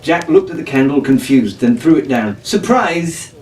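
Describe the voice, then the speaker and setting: A man's voice: medium pitch, a little fast, mellow, a little hiss or hum. A man reading a pirate story aloud in a playful, theatrical, drawling way. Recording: echoey room from far off.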